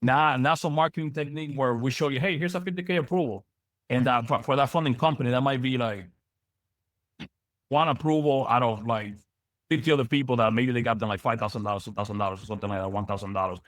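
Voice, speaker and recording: A young man from the Dominican Republic giving an energetic live business training lecture, repeating words and using many fillers. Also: mid-range voice, fast, no room sound, clean studio sound. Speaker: business coach.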